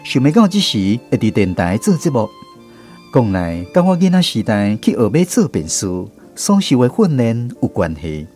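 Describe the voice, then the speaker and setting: A man's voice: mid-range voice, sing-song, moderate pace, darkish tone, faint music behind, natural voice. An actor playing a writer looking back on his village childhood in a radio drama, reflective and conversational, with a Taiwanese accent. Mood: reflective, nostalgic. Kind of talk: radio drama storytelling narration.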